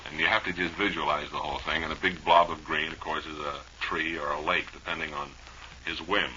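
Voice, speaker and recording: A low-voiced man with a very bright, forceful edge hosts a radio show in casual comic banter. He is wry and playful, rambling along on a dull old recording. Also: little pitch movement, fairly quick, a little hiss or hum. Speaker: radio host.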